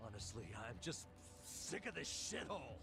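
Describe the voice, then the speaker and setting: An actor playing a guilt-ridden, world-weary man in a video game drama, gruff, blunt and jaded. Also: fairly high pitch, very animated, normal speed. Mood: anguished, bitter. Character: bitter, guilt-ridden, world-weary man pushing his friend away. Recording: game music behind the voice.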